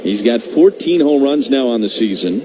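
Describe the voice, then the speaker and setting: A man doing live baseball play-by-play on radio, talking in measured short phrases with conversational asides. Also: medium pitch, animated. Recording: clearly noisy live radio broadcast.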